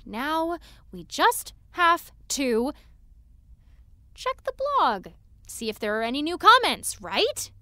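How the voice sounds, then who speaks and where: A woman's voice: high-pitched, wildly swooping pitch, bright, forceful tone, no echo, full character voice. A woman playing a scattered, anxious young fan fiction writer, talking herself along in a naturalistic audio drama monologue. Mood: procrastinating. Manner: self-coaching, chatty, halting.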